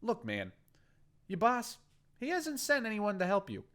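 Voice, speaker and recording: A man's voice: high-pitched, huge pitch swings, a little slow, pitched above the performer's own voice, exaggerated voice. A man reading a story aloud, voicing a furious, profane male gang boss who threatens and then coaxes in a raised, cursing voice. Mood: coaxing. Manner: swearing, menacing, raised and shouting. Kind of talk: audiobook character voice.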